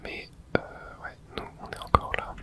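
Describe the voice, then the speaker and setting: Someone whispering throughout an ASMR let's play, narrating the game and reading its texts aloud in a hush. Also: unhurried. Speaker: host playing and narrating a game.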